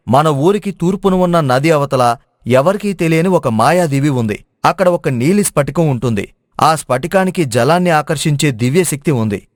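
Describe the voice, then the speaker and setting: A man plays a cautious village guide who knows old secrets, in an animated folk tale. The voice is a bit high, explanatory and urgent, recorded dry. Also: dry recording, lightly acted. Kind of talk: animated moral story dubbing.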